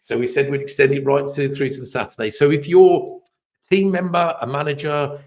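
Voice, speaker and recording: A man hosting a live webcast, chatty and upbeat, rambling with restarts, his voice a little hoarse. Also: on the high side, fairly even intonation, moderate pace, a little mellow. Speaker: host.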